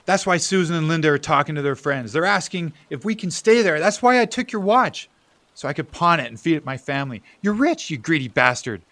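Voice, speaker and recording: A man's voice: on the high side, animated, brisk, fairly bright tone, dry recording. A man acting in an audio drama as a bitter, struggling family man and older brother, earnest and pressing. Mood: angry, desperate.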